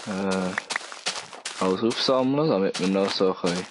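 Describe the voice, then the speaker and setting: A man's voice: low voice, unhurried, background noise. A young man with a Bernese Swiss German accent, rambling and mocking himself while playing a game, with a cold. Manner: rambling, self-mocking, thinking aloud. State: has a cold. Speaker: let's play gamer. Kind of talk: casual gameplay commentary.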